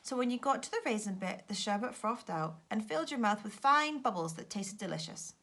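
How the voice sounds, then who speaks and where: A woman reads a children's story aloud like a primary teacher. Her voice is bright and clear-cut in tone, with highly animated pitch that follows the narration expressively. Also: fairly quick.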